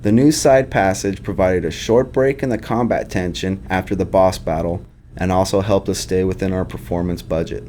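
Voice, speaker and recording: A man's voice: sits low, steady tone, normal speed, mellow, a little hiss or hum. A man giving scripted commentary as a game developer.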